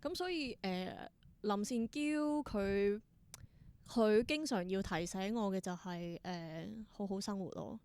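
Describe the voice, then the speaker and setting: A woman's voice: mid-range voice, takes it slowly, darkish tone, smooth, no echo. A young woman with a Hong Kong Cantonese accent talks as a podcast guest in a close, dry recording. She tells her stories casually, slipping into reported dialogue as she goes. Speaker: podcast guest, theatre performer. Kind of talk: casual interview storytelling.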